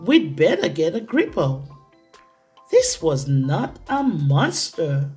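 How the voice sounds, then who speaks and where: A woman reading a children's picture book aloud in an expressive, theatrical way, with deliberate phrasing for young listeners. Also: low-pitched, faint music behind. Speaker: children's storytime reader.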